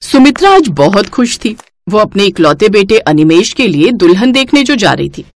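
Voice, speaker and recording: A woman's voice: mid-range voice, big pitch swings, normal speed, a little hiss or hum. A woman narrating a scripted moral story as storyteller.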